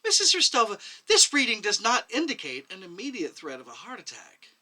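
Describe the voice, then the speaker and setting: Man playing high, indignant young doctor. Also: huge pitch swings, fairly quick, sharp tone, heavily acted. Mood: probing, incredulous. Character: indignant, exacting young doctor, pressing and incredulous. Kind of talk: short story reading.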